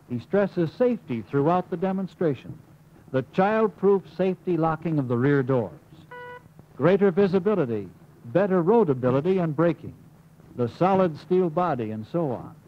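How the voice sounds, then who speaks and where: An American man reading scripted instructional narration in an emphatic manner, as the narrator of a training film. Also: fairly high pitch. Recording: muffled, hissy 1950s film soundtrack.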